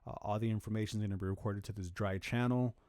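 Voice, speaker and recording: A man hosting a music production tutorial from a home studio, talking through his steps in a relaxed, rambling way with lots of fillers and restarts. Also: fast talker, no echo. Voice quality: deep voice. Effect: auto-tune pitch correction on some lines. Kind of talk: casual screen-recorded tutorial. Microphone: studio vocal microphone.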